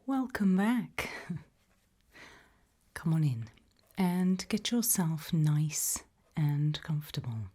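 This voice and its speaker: Hushed, slow British woman whispering ASMR. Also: low voice, lively intonation, breathy edge. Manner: gentle, reassuring, hushed, mostly whispered. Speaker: ASMR roleplay host playing an abduction investigator. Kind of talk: ASMR roleplay, whispered interview.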